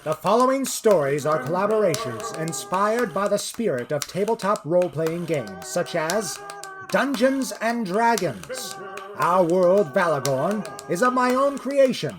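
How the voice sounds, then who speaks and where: A man narrating a scripted fantasy tale in a theatrical manner with deliberate phrasing. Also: high-pitched, big pitch swings. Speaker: narrator and creator of the story world.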